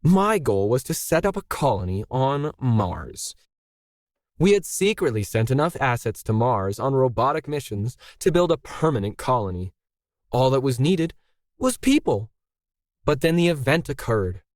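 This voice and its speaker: Smug billionaire schemer for an audio story, acted by a man: formal, coolly persuasive, talks down, mid-pitched. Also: very animated, measured pace, no room sound, clean studio sound. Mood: proud, matter-of-fact. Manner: condescending, formal.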